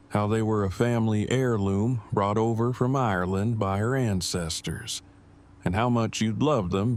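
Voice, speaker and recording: A man acts out an earnest, devoted bridegroom in an audio drama. His voice sits low, and he talks in long, precise, formal sentences at a measured, bookish remove. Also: darkish tone, natural voice.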